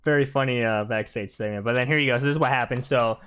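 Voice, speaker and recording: A man's voice: medium pitch, fast, fairly bright tone, clean tone. A man giving casual, conversational gameplay commentary like a chatty fan. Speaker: video game commentator.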